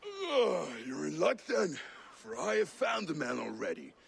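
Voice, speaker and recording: A sardonic, half-awake man in a video game. His highish voice crackles heavily with fry and swings theatrically as he drawls. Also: huge pitch swings, measured pace, exaggerated voice. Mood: wry. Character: groggy, sardonic man roused from sleep. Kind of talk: video game cutscene acting.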